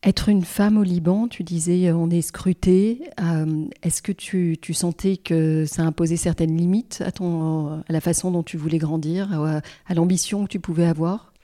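A woman hosting a podcast, asking questions in a calm, measured way. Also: fairly low pitch. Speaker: podcast host. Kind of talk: interview questions.

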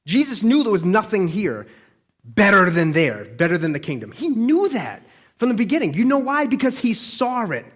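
A man's voice: high-pitched, sing-song, normal speed, fairly bright tone. A man giving an informal, conversational sermon as a preacher, colloquial and often checking in with listeners.

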